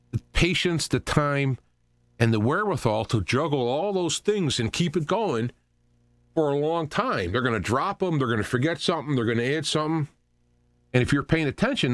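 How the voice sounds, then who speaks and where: A man hosting a talk radio show solo, speaking off the cuff in a halting, rambling way, often repeating and restarting phrases. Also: a little high, big pitch swings, no echo.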